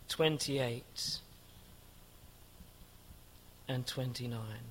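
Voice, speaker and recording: A man preaching a sermon in a church, earnest and measured, rising to fervent prayer. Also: mid-range voice, a bit bright. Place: church building.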